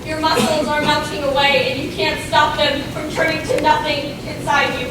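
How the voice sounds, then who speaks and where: A woman playing an anguished, grieving young woman in a stage play, speaking with theatrical, deliberate emotion. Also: high-pitched, flat delivery, natural voice. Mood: grieving, haunted. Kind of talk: stage play monologue and dialogue. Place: stage in a reverberant hall, distant mic. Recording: echoey hall from far off, loud noise.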